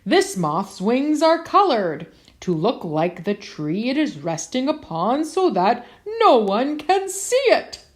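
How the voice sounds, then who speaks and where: A woman with a high voice reads a picture book aloud to children. Her delivery is theatrical and playful, with lively swings in pitch. She takes her time and leans on key words. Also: takes it slowly.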